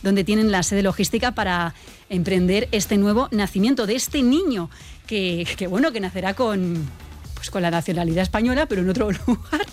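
A chatty woman from Spain hosts a live radio show, her talk lively and quick to laugh. Faint music runs behind her voice. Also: medium pitch. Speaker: radio host.

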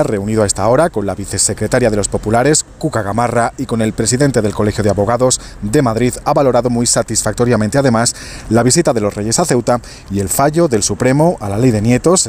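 A man's voice: medium pitch, a little mellow, a little hiss or hum. A man with a Castilian Spanish accent reading a scripted news report.

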